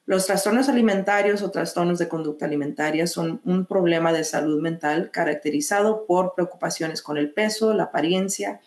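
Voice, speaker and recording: A woman with a Mexican accent presenting a mental health lesson, measured, pausing often to restart phrases. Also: fairly low pitch, darkish tone, roomy sound. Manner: measured, with frequent hesitations and restarts. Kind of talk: educational webinar presentation.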